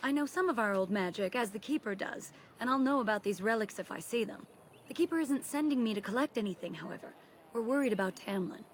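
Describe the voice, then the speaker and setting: An actress playing an earnest young elf woman, a clan mage in a fantasy video game, speaking briskly and formally. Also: fairly high pitch, lively intonation, slight background noise, close to an everyday voice. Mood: earnest, concerned.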